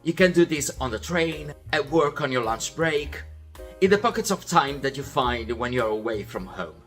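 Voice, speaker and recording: Man, young adult, animated, friendly and methodical, scripted vlog voiceover. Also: medium pitch, moderate pace, soft background music. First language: Italian. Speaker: vlog host.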